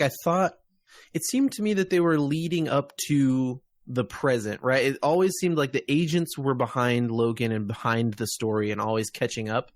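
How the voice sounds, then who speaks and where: A man hosting a podcast, giving his opinions in informal review chat and thinking aloud with tag questions. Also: medium pitch. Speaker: podcast host.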